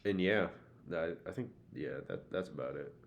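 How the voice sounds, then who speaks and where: A man's voice: low voice, moderate pace, lo-fi sound, slight background noise. A man co-hosting a podcast in casual chat, blunt and slangy, swearing freely. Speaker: podcast co-host. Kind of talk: casual chat, TV show discussion.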